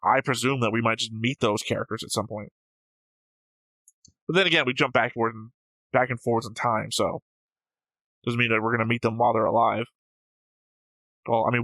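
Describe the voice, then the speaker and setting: Male co-host for casual analytical talk. Crisp-edged bright tone, close dry sound, methodical explainer who argues each point. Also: mid-range voice, no room sound, poor audio. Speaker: reaction show co-host.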